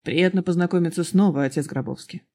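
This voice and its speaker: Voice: medium pitch, fast talker, a little mellow, lightly acted. A narrator voicing a friendly, slightly evasive man with a mild, casual manner in a storybook reading.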